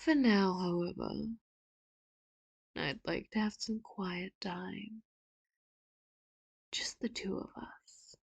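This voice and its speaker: A woman voicing a manipulative, doting villain in an ASMR audio roleplay, speaking slowly and softly with sudden sharp threats, close to the mic. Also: mid-range voice, steady tone, vocal fry, slightly rough, dry recording, exaggerated voice. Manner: slow, hushed, often whispered, turns sharp and loud for threats. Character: doting, manipulative villain, soft-spoken and menacing.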